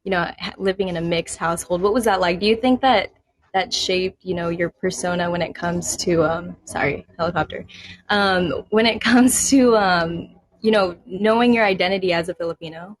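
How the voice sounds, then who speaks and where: A woman's voice: lowish voice, moderate pace. Young woman host, easy friendly chat. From San Diego. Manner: friendly, laughs often, many "nice"s. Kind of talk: casual livestream interview.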